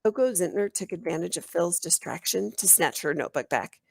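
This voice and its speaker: A woman reading a children's novel aloud as an expressive storyteller, in the manner of a teacher. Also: on the low side, fairly quick, vocal fry, no echo. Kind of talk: children's audiobook narration.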